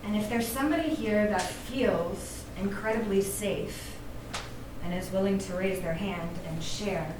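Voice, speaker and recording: A woman's voice: mid-range voice, unhurried. Woman guiding a workshop from afar. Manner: gentle, inviting, with fillers. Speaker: workshop speaker. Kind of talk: guided workshop talk with meditation. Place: room with a live audience. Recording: roomy, distant mic with room noise.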